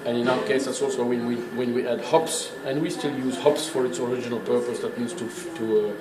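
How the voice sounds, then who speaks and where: A man's voice: mid-range voice, steady tone, fairly quick, crowd noise. A man working as a brewery tour guide, explaining things informally in an emphatic, opinionated, didactic way. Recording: echoey room, clear background noise.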